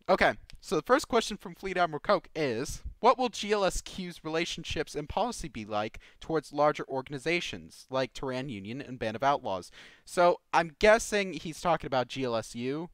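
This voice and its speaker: A man's voice: a little high, lively intonation, a little fast, fairly bright tone, clean tone, no room sound. A man hosting a live Q&A podcast in a casual, informal way, reading out questions and commenting loosely. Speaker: host of a live community Q&A show.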